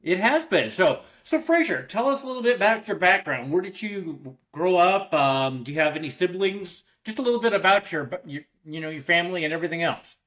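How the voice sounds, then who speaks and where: A man with a notably high voice hosts a podcast interview. He asks loose, rambling questions and fills the gaps with short nods of agreement. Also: animated, fairly quick, hardly any pauses, a bit bright. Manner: friendly, many short backchannels and fillers. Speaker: podcast host. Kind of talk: casual interview.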